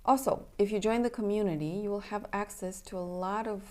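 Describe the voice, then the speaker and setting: A woman's voice: mid-range voice, steady tone, a little fast, a little mellow. A young adult woman from Romania, a native Romanian speaker, talking as a somatic coach in an earnest, plainspoken casual announcement. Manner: earnest, plainspoken, checks in with "okay?".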